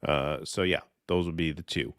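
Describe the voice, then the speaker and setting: A man's voice: a bass voice, steady tone, normal speed, a bit bright, clear, resonant tone, no room sound, clean studio sound. A man co-hosting a casual livestream, reading viewer comments aloud and thanking the people who sent them.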